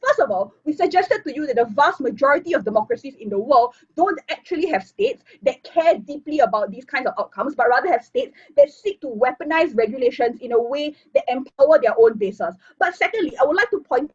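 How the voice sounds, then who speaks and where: Give me a woman debater making a structured rebuttal, slightly husky, over dull lo-fi online audio. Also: high voice, very animated, a little fast, few pauses. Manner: fluent, structured argument, formal debate phrasing. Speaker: debater, opposition whip. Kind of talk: competitive debate speech. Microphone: computer mic on a video call. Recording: muffled lo-fi online call audio.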